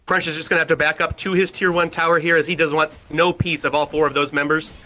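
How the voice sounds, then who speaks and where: A man calling an online match with a loud, powerful voice and rapid, energetic play-by-play. His tone is bright and forceful, and the words pour out quickly. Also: fairly high pitch. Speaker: esports caster. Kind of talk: play-by-play commentary.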